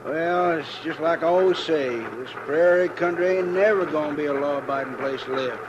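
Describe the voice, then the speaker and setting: An actor playing a garrulous, nervous old-West train conductor with a folksy drawl in a 1950s radio western. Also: male voice, on the high side, normal speed, close to an everyday voice. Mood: chatty, grumbling. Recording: dull, noisy 1950s radio recording.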